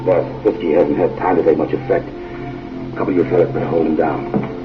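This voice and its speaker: A man's voice: a bass voice, level tone, brisk. A man playing a folksy, hesitant stagecoach driver with a drawling manner, on muffled old film audio with music.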